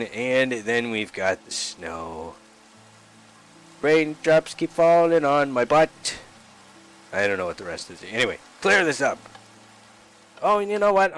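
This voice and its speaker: A man's voice: medium pitch, fairly bright tone. A man hosting a casual game review channel, goofy and rambling, a little sick and sniffly today. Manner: goofy, rambling, jokey, affectionate toward viewers. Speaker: YouTube host reviewing game resource packs. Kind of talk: casual gameplay commentary.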